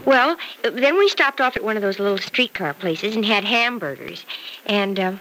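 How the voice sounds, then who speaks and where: A woman's voice: medium pitch, animated, normal speed, fairly bright tone, lightly acted. An actress playing an earnest, love-struck, girlish young woman in an old-time radio drama, with a breathless, wistful delivery. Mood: nervous, eager. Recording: noisy old radio recording.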